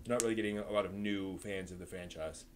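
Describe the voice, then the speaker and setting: A man's voice: deep voice, steady tone, quick pace, slight background noise. Man hosting an anime talk stream. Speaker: live stream host. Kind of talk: anime review and live discussion.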